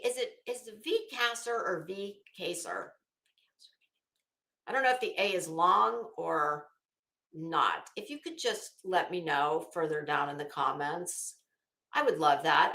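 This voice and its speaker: A woman's voice: on the low side, animated, unhurried, pushed and bright. An American woman hosting a livestream, chatting casually with viewers in a blunt, emphatic, chatty way. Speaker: livestream host. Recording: echoey, roomy sound.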